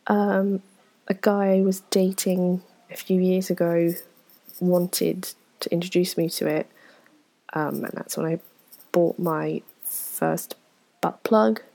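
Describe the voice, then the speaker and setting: A woman, answering viewer questions in a frank, self-deprecating, rambling way, full of ums and soft whispered asides. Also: lowish voice, steady tone, takes it slowly, gravelly, pauses often, low-quality recording. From England. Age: 20s. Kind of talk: casual Q&A chat to camera.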